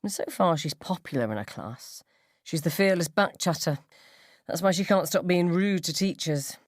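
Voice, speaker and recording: Female story reader, low and lively, sardonic and expressive, recorded very dry. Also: normal speed, dry recording. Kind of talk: dramatised first-person literary narration for radio.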